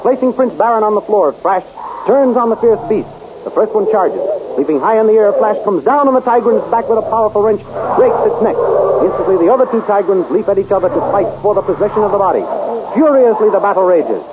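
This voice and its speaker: A man's voice: sits high, animated, a little fast. A man narrating a 1930s radio serial in a dramatic, urgent way, on a muffled old recording with hiss.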